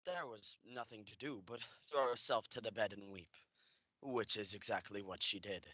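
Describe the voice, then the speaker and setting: A man's voice: on the low side, moderate pace, sharp tone, dry recording. A young man narrating a radio play as a scripted storyteller. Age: young adult.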